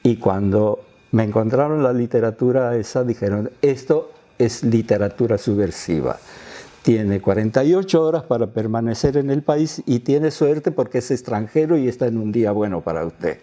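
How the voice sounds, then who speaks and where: A man's voice: medium pitch, moderate pace, warm tone. A man in his eighties with an Argentine accent, a native Quechua speaker, recounting his life as an interview guest. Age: 80s. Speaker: interview guest, former radio and TV announcer. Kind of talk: autobiographical storytelling in an interview.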